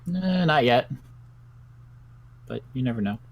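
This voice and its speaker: A man's voice: medium pitch, lively intonation, slow, gravelly, exaggerated voice. A man playing a blunt, pragmatic doctor in an improvised zombie roleplay game, dry, curt and sarcastic.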